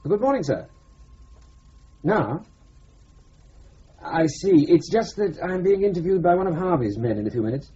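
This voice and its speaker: An actor playing a brisk, wry, exasperated intelligence officer in a radio drama. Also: male voice, on the high side, lively intonation, dark tone, natural voice. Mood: businesslike. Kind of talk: broadcast radio drama acting. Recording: muffled radio recording, faint hiss.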